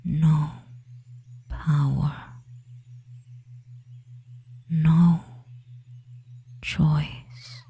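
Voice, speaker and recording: Casting: performer as dominant femboy witch for hypnosis ASMR. Husky whisper, extremely slow, even delivery, soothing and possessive. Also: mid-range voice, exaggerated voice. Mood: commanding, hushed. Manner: very slow, hushed, hypnotic, with long pauses.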